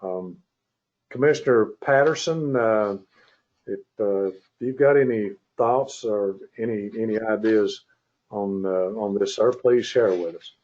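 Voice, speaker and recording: A man chairing a formal public meeting by conference call, speaking in a measured, hesitant, courteous way. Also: on the low side, a little mellow. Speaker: county commissioner chairing the meeting. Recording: muffled conference call audio.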